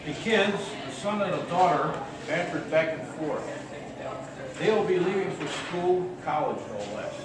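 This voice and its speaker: A man reading as the storyteller of a melodramatic radio play, in a measured, declaiming way. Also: fairly high pitch, lively intonation. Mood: solemn storytelling. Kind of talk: scripted radio play narration. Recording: echoey noisy hall, distant microphone.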